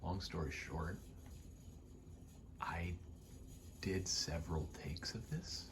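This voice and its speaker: A man with a very deep, creaky voice rambles softly in an ASMR style, often slipping into a breathy whisper. He is casual, sweary and self-deprecating. A rattling vent adds clear noise behind him. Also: slow pace. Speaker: video creator rambling to camera. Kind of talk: soft-spoken ASMR ramble.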